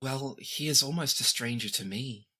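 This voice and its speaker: A performer voicing a young teenage princess who is gentle, guarded and composed, with formal, measured phrasing in a fantasy tabletop game. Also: medium pitch, husky. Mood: polite, gentle.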